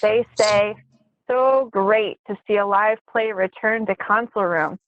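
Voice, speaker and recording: A woman hosting a casual fan discussion over a video call. She talks at an unhurried pace and sounds enthusiastic. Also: medium pitch, dry recording, lo-fi sound.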